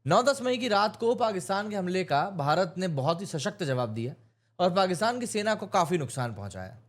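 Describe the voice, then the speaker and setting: Indian guy doing opinionated news explainer, pretty high voice, emphatic, throws in english words. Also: very animated, brisk. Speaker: news commentator.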